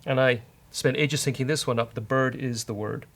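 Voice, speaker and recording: A man's voice: mid-range voice, fairly even intonation, moderate pace, vocal fry, fairly bright tone. A man hosting a casual coding show, bantering with a co-host and thinking aloud as he works.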